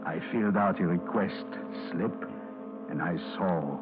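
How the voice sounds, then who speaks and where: A man's voice: low-pitched, measured pace. An actor playing a frightened middle-aged businessman who fears arrest, narrating his own story in 1940s radio drama style. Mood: hunted. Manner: tense, anxious inner monologue. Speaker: narrator and lead character. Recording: muffled old radio broadcast with music.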